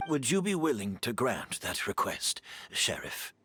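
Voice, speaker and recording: A frail remorseful perfumer. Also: male voice, medium pitch, a little hiss or hum, full character voice. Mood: resigned. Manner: calm, confessing, earnest.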